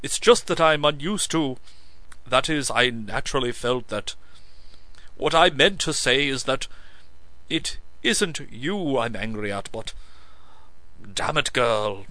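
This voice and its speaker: A man's voice: mid-range voice, lively intonation, slow pace, sharp tone, dry recording, rough recording. A man playing a proud young captain who flares up and stumbles over his words. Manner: hot-tempered, stumbling when cornered.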